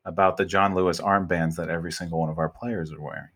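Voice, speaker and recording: A man hosting a podcast, talking in a relaxed, conversational way and steering the topics. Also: deep voice, fast talker. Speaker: podcast host. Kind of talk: casual chat.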